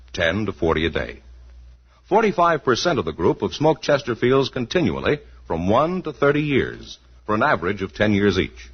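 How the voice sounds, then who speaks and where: A man's voice: mid-range voice, slightly rough. A man with an American accent formally and emphatically reading a scripted 1950s radio advertisement. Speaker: radio announcer. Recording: muffled vintage radio recording.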